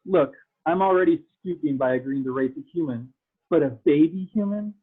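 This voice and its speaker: Male reader as arrogant alien pod racer, dismissive, slightly high pitch, phone-like call sound, fan-fiction table read. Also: a little fast, breathy edge. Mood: scornful. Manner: dismissive, taunting. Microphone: video call. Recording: thin phone-like video call audio.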